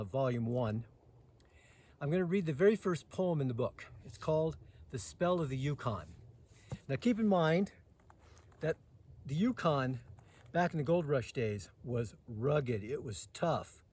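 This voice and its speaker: A man reading poetry aloud outdoors as host, with dramatic phrasing and lines that trail off softer. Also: fairly high pitch, husky. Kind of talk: poetry recitation with casual introduction. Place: outdoors in the mountains.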